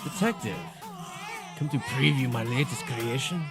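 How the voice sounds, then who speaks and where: A man's voice: medium pitch, normal speed, raised voice, music in the background, natural voice. A man playing a proud, sinister mad scientist in an audio drama, speaking with smug theatricality. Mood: smug, menacing. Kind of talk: audio drama villain acting.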